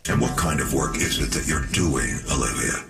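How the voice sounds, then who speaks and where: An actor playing a cold, commanding cyborg chief scientist in a video game, measured and formal, with a gravelly, synthetic voice. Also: male voice, rumbling low, expressive, full character voice. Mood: stern, reproachful. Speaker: head of a research corporation. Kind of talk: video game cutscene acting. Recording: echoey, distant, loud music behind.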